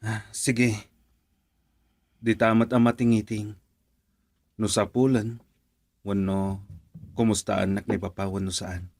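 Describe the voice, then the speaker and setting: An actor playing an easygoing but somewhat reluctant young husband in a radio drama. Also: male voice, on the low side, flat delivery, slow, gravelly, long pauses, a little mellow, dry recording, full character voice. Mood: hesitant, agreeable.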